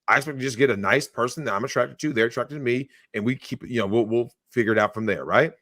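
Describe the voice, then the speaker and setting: A man's voice: mid-range voice, quick pace, a bit bright, dry recording, clean studio sound. Emphatic man with blunt livestream opinions. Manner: emphatic, acts out quoted voices, swears. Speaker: guest.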